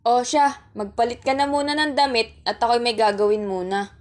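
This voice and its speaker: High-voiced caring mother played by a woman. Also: fairly even intonation, moderate pace, music in the background, close to an everyday voice. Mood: brisk, caring. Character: caring, hard-pressed mother hurrying her children along. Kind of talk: amateur radio drama acting. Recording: faint noise, clicks and clatter.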